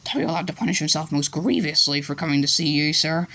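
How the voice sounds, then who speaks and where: A reader voicing a small, servile male house elf with a high-pitched, squeaky voice who talks about himself by name. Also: huge pitch swings, a little fast, creaky, fairly bright tone, husky, heavily acted. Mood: earnest, fearful. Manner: earnest, speaks of himself by name.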